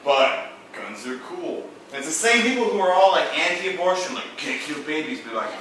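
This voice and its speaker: A man's voice: fairly high pitch, big pitch swings, moderate pace, a bit bright. A young man telling a rambling, profane story between drinks with friends in a casual chat. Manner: rambling, profane, tipsy, laughing asides. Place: room indoors with friends. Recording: very echoey room, mic far off, faint noise.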